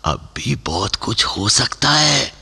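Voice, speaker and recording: Gravelly, pleading old Punjabi father. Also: mid-range voice, big pitch swings, slow, sharp tone, big-room sound, exaggerated voice. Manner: imploring, exclamatory, repeats himself. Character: pleading old Sikh father, anxious and emotional. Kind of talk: Hindi radio play acting.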